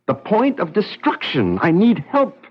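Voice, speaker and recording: An actor playing an intense, wryly humorous scientist in hiding, in a 1970s radio drama. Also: male voice, high voice, huge pitch swings, measured pace, breathy edge, exaggerated voice. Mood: desperate, intense. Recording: muffled 1970s radio broadcast recording.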